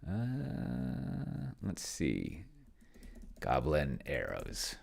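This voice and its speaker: A man with a low, gravelly voice talks casually through his own game as host. His pitch swings in a lively way while he thinks aloud, rambling and hesitating, often leaving a thought half finished. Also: extremely slow. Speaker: solo tabletop roleplayer and host, narrating own play session. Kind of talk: casual unscripted play-along commentary, thinking aloud.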